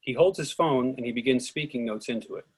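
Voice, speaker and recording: A man's voice: medium pitch, fairly quick, slightly rough. A man reading stage directions aloud as scripted narration for a screenplay reading.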